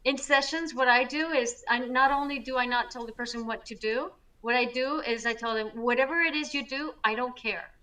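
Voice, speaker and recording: A high-pitched woman with a bright, forceful voice speaks with energy and emphasis. She co-hosts a casual spiritual chat show over a video call. Her voice sits a little back from the microphone. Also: fairly quick, hardly any pauses, distant mic, low-quality recording. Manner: energetic, emphatic, self-described loud. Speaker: co-host, astrologer.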